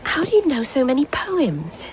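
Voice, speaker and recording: A woman's voice: high voice, huge pitch swings, normal speed, darkish tone, slight background noise. An actress playing a curious, eager young woman, an earnest and wondering poetry pupil in a radio drama.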